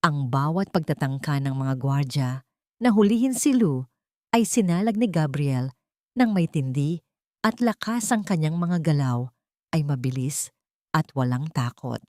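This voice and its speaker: Woman storyteller, slightly low voice, solemn, suspenseful, dramatic scripted narration, very dry close sound. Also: expressive, unhurried, mellow, no room sound.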